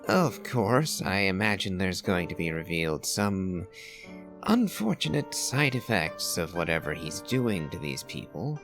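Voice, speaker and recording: A man with a very low voice full of creak comments on a video game. He speaks very slowly and deliberately, musing as he analyses, with game music playing behind him. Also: very animated. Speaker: game streamer. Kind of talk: reflective gameplay commentary.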